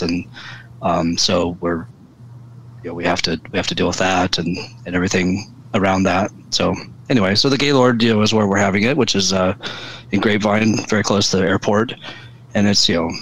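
A middle-aged American man with a fairly low voice talks as a guest on a podcast. He rambles along casually and folksily, often dropping in "you know". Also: fairly bright tone, low-quality recording. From Wyoming, US. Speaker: podcast guest, convention organizer. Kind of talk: casual chat.

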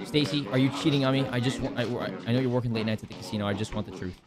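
A young man live-streaming from a home room into a desk mic, talking loudly and boastfully and joking with his chat. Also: mid-range voice, very fast. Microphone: desk microphone on boom arm.

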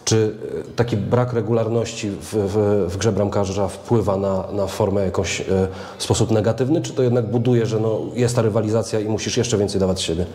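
A man's voice: lowish voice, steady tone, fairly quick, dark tone. A young man interviewing a footballer, asking long, winding questions. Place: club media room, indoors. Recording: echoey room with long reverb.

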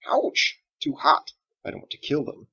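A man's voice: normal speed, bright, forceful tone, muffled recording, natural voice. A man voicing a playful, theatrical cook who teases slyly and pretends at wizardry in a storybook reading. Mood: sly, mischievous. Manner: teasing, sly, hammy.

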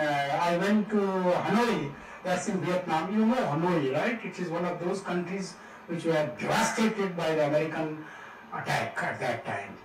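Older Indian professor, jovial and echoey. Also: male voice, a little high, slight background noise. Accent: Indian English. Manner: jovial, mixing Hindi into English, laughing at own jokes. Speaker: professor giving a guest lecture. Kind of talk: lecture with humorous asides. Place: large seminar hall. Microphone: room mic from afar. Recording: echoey hall, distant mic.

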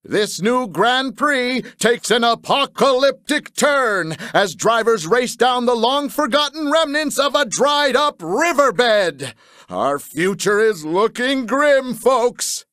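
A man playing a showy TV race-show announcer in a cartoon game, with a theatrical, drawn-out delivery. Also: very high-pitched, expressive, fairly bright tone, no room sound, clean studio sound, full character voice. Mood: dramatic.

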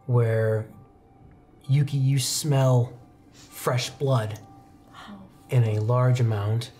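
A young man narrating a horror tabletop roleplaying game as game master, with deliberate, suspenseful descriptions and dramatic pauses. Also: medium pitch, fairly even intonation, dark tone. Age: young adult. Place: indoor studio game set at a desk. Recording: music playing behind the voice. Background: background music.

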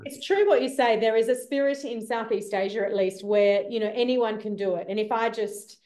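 A woman's voice: a little high, a little mellow. A woman in her thirties hosting an interview over a video call, asking questions enthusiastically. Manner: enthusiastic, quick affirmations. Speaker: interview host. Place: indoors, room with plant and painting. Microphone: video call webcam.

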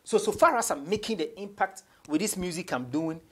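A man's voice: high-pitched, lively intonation, brisk, fairly bright tone, husky. A young man with a Ghanaian accent, a rapper chatting as an interview guest, telling stories with repetition for emphasis and switching between languages. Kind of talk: casual interview chat, mixing English and Twi. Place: small indoor radio studio.

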